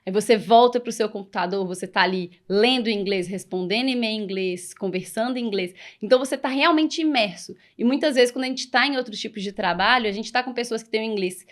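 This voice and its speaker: A woman's voice: on the high side, quick pace, hardly any pauses. A woman hosting an interview podcast, encouraging, who frames long questions from her own experience. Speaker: podcast host. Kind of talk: casual interview conversation.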